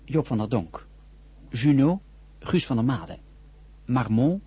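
A man's voice: mid-range voice, animated, slow, warm tone, slightly rough, dry recording. A man reading formal scripted radio drama credits as an announcer.

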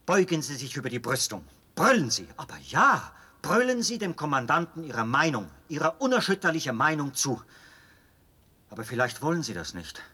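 An actor playing a zealous, obsessive colonial officer in a German radio play, formal and insistently persuasive, with a husky voice. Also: male voice, medium pitch, pushed and bright. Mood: fervent, exalted, then coaxing, insistent.

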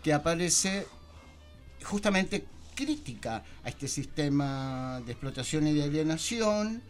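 Argentine guy hosting a radio chat, highish voice, loose and halting, music in the background. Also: lively intonation. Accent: Argentine Spanish. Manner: informal, halting, searching for words while theorizing. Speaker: radio host. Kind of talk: conversational talk-show discussion. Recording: music under the voice.

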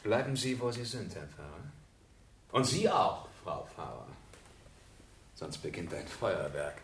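An actor playing a cool, threatening, short-tempered villain in a radio crime drama. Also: male voice, deep voice, big pitch swings, a little slow, a bit bright, echoey room, far from the mic, a little hiss or hum, exaggerated voice. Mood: menacing. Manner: swings from feigned innocence to menacing outbursts.

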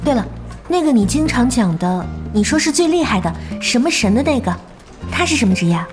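A woman's voice: a little high, sing-song, a little fast. A woman playing a stubborn, determined young novice gamer in an audio drama, with a resolute manner. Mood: curious. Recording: music bed under the dialogue.

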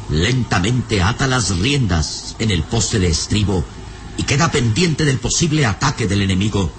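An actor as a grave, suspenseful narrator, delivering emphatic scripted radio serial narration. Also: male voice, lowish voice, a little hiss or hum, lightly acted.

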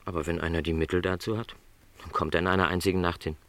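An actor playing a gentle middle-aged travelling salesman in a literary radio play, earnest and reassuring in formal wording. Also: low-pitched, flat delivery, quick pace, dry recording. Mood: gently encouraging.

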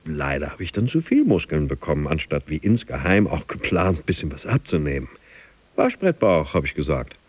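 An earnest office employee in a radio play. The voice is deep and plain, confiding his frustrations in a rueful, everyday way. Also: very animated, a little fast, breathy edge, heavily acted. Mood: rueful, puzzled. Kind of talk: radio drama monologue.

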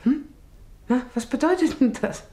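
An actress in a German radio drama playing a rancher's wife who asks short, curious, puzzled questions. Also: female voice, sits high, lively intonation, moderate pace, warm tone, roomy sound.

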